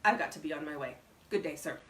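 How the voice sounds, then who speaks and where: A dry, aloof male storybook stranger, read by a woman, speaks in a crisp, even deadpan. The pitch is fairly low. The sound is echoey and a little distant from the mic. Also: quick pace, slight background noise. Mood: curt, dry. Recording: roomy echo, distant microphone.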